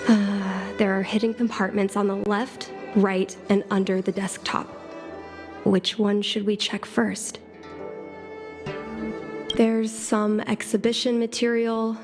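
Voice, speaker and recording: A woman voicing an earnest, thoughtful young girl in a video game, with some hesitation in her speech. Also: mid-range voice. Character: earnest young girl, daughter longing to be a pilot. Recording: clean game dialogue over background music.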